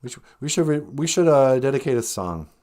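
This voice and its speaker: Animated man hosting a casual chat. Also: medium pitch, fairly quick. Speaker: podcast host and musician.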